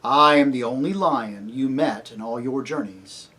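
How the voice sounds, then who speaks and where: A man voicing a great lion, a grave, commanding yet tender divine king in a storybook reading, speaking deliberately. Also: mid-range voice, pushed and bright. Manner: slow, grave, deliberate, authoritative.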